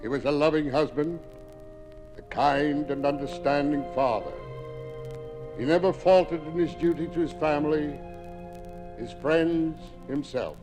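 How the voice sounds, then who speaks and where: A man's voice: a little high, unhurried, pauses often, close to an everyday voice. An actor playing a solemn, formal clergyman giving a eulogy in a 1950s radio drama, with music behind him. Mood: solemn, mournful. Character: solemn, measured clergyman delivering funeral eulogy.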